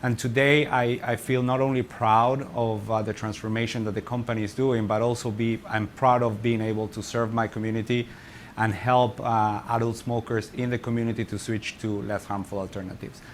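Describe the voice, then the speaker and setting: Argentine-accented man, friendly halting talk. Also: lowish voice, level tone, slight background noise. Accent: Argentine Spanish. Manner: friendly, self-deprecating, halting restarts. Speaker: company community outreach lead. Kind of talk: webinar presentation.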